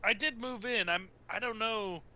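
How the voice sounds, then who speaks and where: A man with a very bright, forceful voice on the higher side plays a tabletop game. He talks casually in a halting, rambling way, thinking aloud as he goes.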